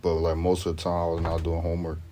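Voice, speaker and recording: A man's voice: low voice, monotone, quick pace, mellow, slight background noise. A young man from Sweden, a rapper, chatting in a laid-back, slangy way as the interview guest. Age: young adult. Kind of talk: casual interview chat.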